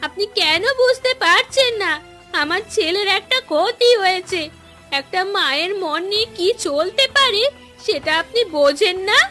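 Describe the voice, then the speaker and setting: A woman's voice: extremely high pitch, expressive, normal speed, pushed and bright, full character voice. A woman playing an aggrieved, defiant mother from a poor village in a fairy-tale cartoon, with a plaintive, protesting delivery. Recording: music behind the voice. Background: background music.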